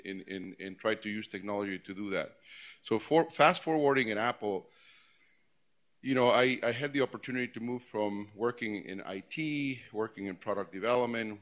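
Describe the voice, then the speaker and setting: A man in his forties from Peru, speaking as a guest speaker, giving a conversational talk with frequent restarts and repeated words. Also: on the low side. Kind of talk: informal tech talk to employees.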